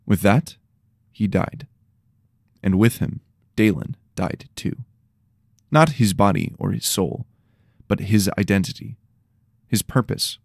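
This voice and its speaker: A man with a slightly tired, worn voice reading scripted, dramatic dark fantasy narration. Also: on the low side, slow pace, vocal fry, long pauses, no room sound, clean studio sound.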